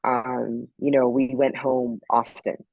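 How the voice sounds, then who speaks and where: A woman's voice: extremely low pitch, level tone, slow pace, no room sound, dull audio. A middle-aged woman from Barbados, a podcast guest telling her story in a reflective, casual way with many ums. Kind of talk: casual interview chat.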